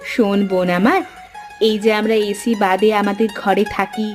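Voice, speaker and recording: A woman's voice: medium pitch, steady tone, moderate pace, over music, close to an everyday voice. A woman voicing a caring village wife in a cartoon, coaxing and homely in her way of talking. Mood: homely, earnest. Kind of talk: cartoon dialogue acting.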